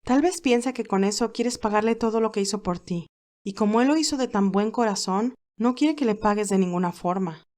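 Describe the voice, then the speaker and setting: A woman's voice: medium pitch, brisk, a little mellow, close to an everyday voice. A woman voicing a modest, kind, easily flustered young nurse in an audio fan drama. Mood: kind, earnest. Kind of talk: acted audio fan fiction dialogue.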